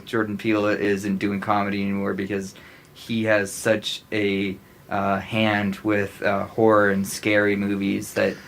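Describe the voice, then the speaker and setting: An American man co-hosting a podcast, talking casually with gushing emphasis and halting restarts. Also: lowish voice, gravelly, fairly bright tone. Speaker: podcast co-host. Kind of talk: casual chat.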